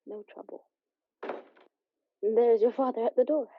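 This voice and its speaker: A woman's voice: fairly high pitch, steady tone, a little slow, slight background noise. An actress playing a tender, weary mother of a poor family in a radio play. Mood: wistful. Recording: tinny phone-like sound, roomy.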